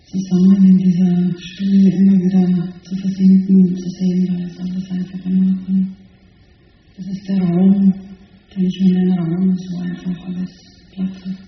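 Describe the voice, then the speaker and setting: A man with a high, level voice talks in a soft, unhurried and contemplative way about stillness, as one of several satsang teachers. The sound is distant and dull, caught in a big hall with long reverb. Faint music sits in the background. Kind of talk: spiritual talk on a panel.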